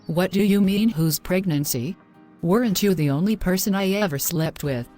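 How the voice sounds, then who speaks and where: A female actor plays a devout young girlfriend in distress in a moral-story audio drama. Her phrasing is formal and earnest. The voice is on the low side for a woman, over faint background music. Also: moderate pace, warm tone, close to an everyday voice. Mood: anxious, distressed. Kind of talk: scripted moral-story dialogue.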